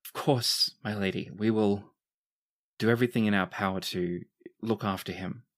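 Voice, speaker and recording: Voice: sits low, fairly even intonation, normal speed, no room sound, clean studio sound, natural voice. A game master voicing a frightened hospital official who speaks in a formal, courteous and deferential way. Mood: anxious deference.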